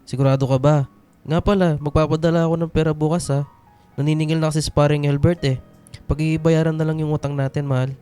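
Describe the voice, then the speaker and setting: A young husband in a radio drama, acted by a man, with a soft-edged mellow tone and a slightly raised pitch. He is gentle, caring and reassuring. Music runs underneath. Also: little pitch movement, quick pace, clean tone, close to an everyday voice. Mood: affectionate. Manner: gentle, concerned, soothing.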